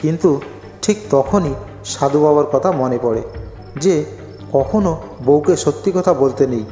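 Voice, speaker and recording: A man's voice: on the high side, a little slow, dark tone, faint music behind. A man narrating a scripted cartoon folk story, in an echoey recording with long reverb.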